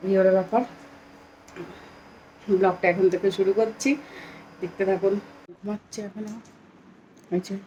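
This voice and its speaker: A woman's voice: medium pitch, mellow, lo-fi sound, slight background noise. A young woman, chatting casually as she narrates a daily home vlog. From India, Bengal region. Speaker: vlogger, mother of a small child.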